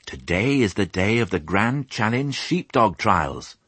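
Male narrator as a warmly fussing mother sheepdog, slightly raised, lively voice, storybook. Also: lowish voice, a little slow, slightly rough, dry recording, voice pushed up, full character voice. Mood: tender. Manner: gentle, coaxing mother's tone. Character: motherly female sheepdog, gentle and proud.

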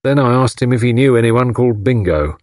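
A man voicing a solemn police inspector in an audiobook, speaking in a courteous, firm, measured and official way. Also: mid-range voice, a little mellow, no echo, studio quality, voice pushed up, natural voice. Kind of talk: audiobook character acting.

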